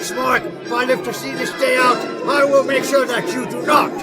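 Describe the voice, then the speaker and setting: A hot-tempered U-boat captain, acted by a man barks with defiance in a very high male voice. Loud music runs behind this wartime drama performance. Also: level tone, normal speed. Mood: urgent alarm. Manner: barking, threatening. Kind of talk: wartime adventure audio drama acting.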